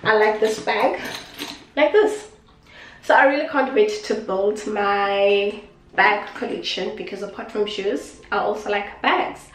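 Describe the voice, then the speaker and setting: A woman's voice: medium pitch, a little slow, recorded from a distance. Congested young woman vlogging in a kitchen. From South Africa. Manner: chatty, affectionate, repeats herself. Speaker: beauty vlogger. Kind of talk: casual unboxing talk to camera. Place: small indoor kitchen. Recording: echoey room, voice off-mic.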